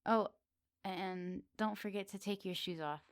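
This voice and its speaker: A woman playing a flirty, teasing witch in a soft, intimate ASMR roleplay. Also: mid-range voice, no echo, studio quality, natural voice. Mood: flustered, shy. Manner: soft, teasing, giggly, unhurried. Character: teasing, flirty, lonely witch in a woodland cottage.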